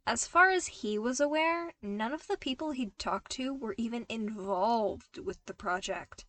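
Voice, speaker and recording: A woman reading scripted fiction aloud as an expressive audiobook-style narrator. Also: on the high side, measured pace, dry recording. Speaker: podfic narrator.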